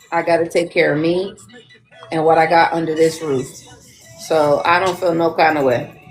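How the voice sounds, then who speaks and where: A woman's voice: low voice, low-quality recording. Candid woman in her forties, casual chat. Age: 40s. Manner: candid, laughs often, slows to make a point. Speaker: podcast host.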